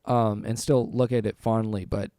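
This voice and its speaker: A man's voice: on the low side, little pitch movement, moderate pace, mellow, dry recording, clean studio sound. A man hosting a podcast, talking in a casual, informal chat style. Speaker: podcast host.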